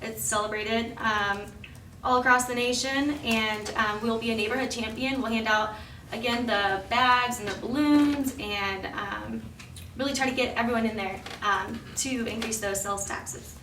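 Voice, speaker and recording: A woman with a slightly raspy voice giving a chatty, informal report at a public meeting, full of ums and restarts. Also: on the high side, steady tone, normal speed, sharp tone. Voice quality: slightly raspy from cheering over the weekend. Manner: chatty, many ums and self-corrections. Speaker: downtown business promoter reporting to council. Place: council chamber. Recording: echoey council chamber, mic far off, faint hiss.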